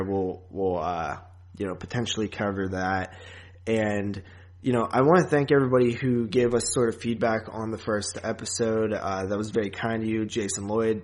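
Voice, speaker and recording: A man with a fairly low voice hosts a laid-back podcast conversation. He rambles informally from one thought to the next, often with a chuckle in his words. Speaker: podcast host. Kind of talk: casual chat about horror movies and podcasting.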